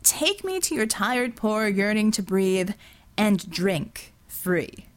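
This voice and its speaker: Audiobook villain, male, played by a woman: flippant, sardonic, smugly cruel, very animated intonation. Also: medium pitch, takes it slowly. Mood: imperious. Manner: sardonic, breezy, mock-genteel.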